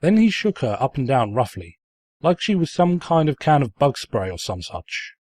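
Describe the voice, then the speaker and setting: A man's voice: medium pitch, very animated, moderate pace, a little mellow, dry recording, clean studio sound. A man playing a sarcastic, pompous narrator who mockingly tells a comic fan fiction story. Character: snide, mocking male storybook narrator who insults the heroine.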